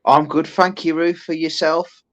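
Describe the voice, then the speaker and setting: Guy co-hosting a podcast, pretty flat monotone, rambly and unhurried. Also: fairly high pitch, highs cut off. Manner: rambling, many restarts, unhurried. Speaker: podcast co-host. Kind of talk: casual chat.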